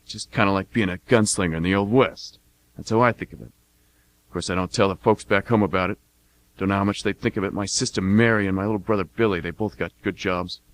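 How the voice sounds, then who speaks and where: An actor playing a blunt, cocky American mercenary war veteran, talking in a folksy, plain-spoken way in a radio play monologue. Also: male voice, deep voice, quick pace, no echo, close to an everyday voice. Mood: matter-of-fact, boastful.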